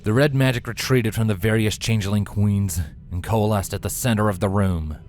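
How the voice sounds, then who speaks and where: A man reading scripted fantasy fiction as a narrator, in a measured, solemn, dramatic way. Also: on the low side, fairly even intonation, darkish tone. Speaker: narrator, fan fiction reader.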